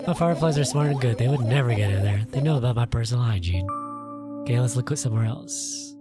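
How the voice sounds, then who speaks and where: Game video host, expressive read-aloud of story text, clear music bed underneath. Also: mid-range voice, fast. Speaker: gaming video host reading a visual novel aloud.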